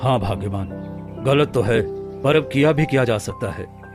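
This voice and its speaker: A soft-hearted village husband in a cartoon moral tale, voiced by a man. He talks plainly and earnestly at an ordinary male pitch. Faint music plays behind the voice. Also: normal speed, natural voice. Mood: sad, regretful. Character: gentle, regretful village husband, lion's friend. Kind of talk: animated moral story dialogue.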